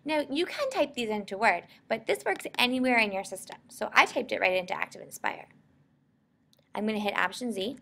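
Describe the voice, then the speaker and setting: An American woman narrating a software tutorial in an informal, explanatory way. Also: mid-range voice, gravelly. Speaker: software instructor.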